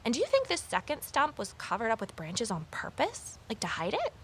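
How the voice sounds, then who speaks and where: A woman's voice: on the high side, wildly swooping pitch, a little fast, slight background noise. A woman playing an eager, nosy former journalist turned sleuth, telling her story in earnest first-person audio drama style. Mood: questioning.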